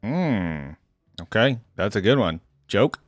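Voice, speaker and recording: A man's voice: on the low side, very animated, takes it slowly, no room sound, faint music behind. A man hosting a reaction video, giving casual, musing commentary on game voice lines in a conversational way.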